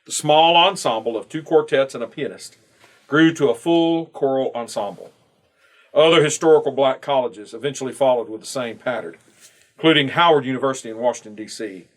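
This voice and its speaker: A man reading a scripted church devotional aloud in a measured, formal way, pausing between phrases. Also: medium pitch. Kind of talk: scripted narration, read aloud.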